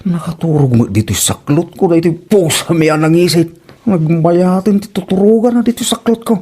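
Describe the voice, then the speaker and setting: A man's voice: high-pitched, brisk, warm tone, breathy edge. A man acting a fussy, grumbling husband and protective father in a comedy drama, with an Ilocano accent and exclamatory, repetitive interjections. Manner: exclamatory, repeating short interjections.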